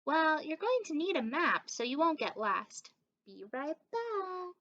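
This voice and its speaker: A woman voicing a bossy, helpful, self-assured female sheep in a picture book, briskly giving instructions. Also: high voice, very animated, a bit bright, highs cut off, voice pushed up, exaggerated voice. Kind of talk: children's picture book read-aloud.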